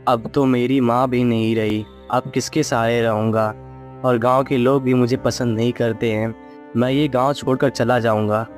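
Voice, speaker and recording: A man's voice: medium pitch, steady tone, normal speed, faint music behind, lightly acted. A man voicing a sorrowful, luckless poor young village son in a story cartoon, plaintive and earnest. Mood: grieving. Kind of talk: acted dialogue in a moral story cartoon.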